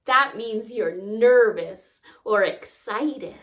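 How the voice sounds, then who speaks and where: A woman's voice: a little high, very slow. A woman reading a picture book aloud to young children, expressively, with asides and questions to the listeners. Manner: expressive, acts out sounds and asks the listeners questions. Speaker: story time reader.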